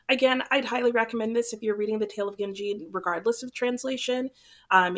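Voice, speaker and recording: A young woman hosting her book channel, chatting casually to camera while a little sick, so her voice sounds slightly off. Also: medium pitch. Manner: chatty, relaxed, rambling.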